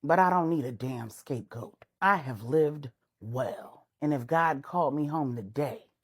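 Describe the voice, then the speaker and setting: A woman voicing a proud, dignified grown man in a told story, measured and resolute. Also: a bass voice, breathy edge, exaggerated voice. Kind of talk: audio storytelling dialogue.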